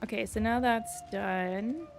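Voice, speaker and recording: Creaky-voiced woman streaming a game. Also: fairly high pitch, slow. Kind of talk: casual live gameplay commentary, reading chat. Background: game music.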